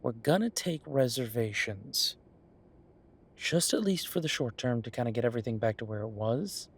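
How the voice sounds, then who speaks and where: Audio roleplay chef, played by a man: male, easygoing, conversational and musing, medium pitch with a husky edge. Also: expressive, moderate pace. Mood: explaining, persuasive. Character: easygoing male chef planning restaurant business with his partner. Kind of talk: one-sided roleplay acting, casual chat.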